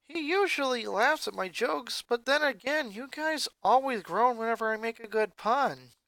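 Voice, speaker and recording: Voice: mid-range voice, lively intonation, normal speed, fairly bright tone, dry recording, voice pushed up. A reader voicing a fourteen-year-old girl comedian who keeps cracking puns and laughing at her own jokes. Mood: joking. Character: upbeat teen girl comedian, loves puns.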